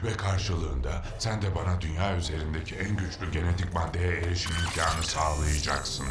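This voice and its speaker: An actor playing a menacing alien conqueror in a cartoon, speaking in a commanding, pompous, deliberate way. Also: male voice, extremely low pitch, fairly even intonation, very noisy. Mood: cold, imperious. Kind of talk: animated villain dubbing. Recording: echoey, music behind.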